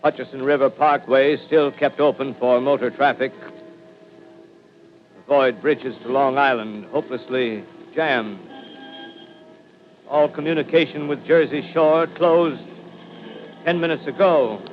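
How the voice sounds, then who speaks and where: Guy acting as a doomed radio announcer up on a roof, old muffled broadcast, music behind, slow urgent talk. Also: medium pitch, natural voice. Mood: grim. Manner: urgent, breathless, rising dread. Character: panicked male radio announcer facing doom. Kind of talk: 1930s radio drama acting.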